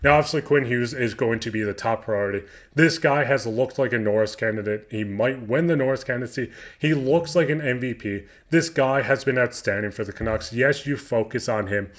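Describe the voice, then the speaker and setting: A man with a voice of ordinary pitch hosts a hockey news show. He is hoarse, his voice is going, and he talks in a loose, eager fan's way as he works through quotes and adds his own take. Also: fairly quick, hardly any pauses. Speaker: hockey news channel host. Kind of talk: sports news commentary.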